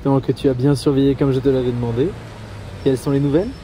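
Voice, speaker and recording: A man's voice: medium pitch, level tone, quick pace, dark tone, lightly acted. Man as composed investigator amid city noise. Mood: dry, probing. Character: composed, wry male investigator in command. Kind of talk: French audio drama acting. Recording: noisy urban ambience.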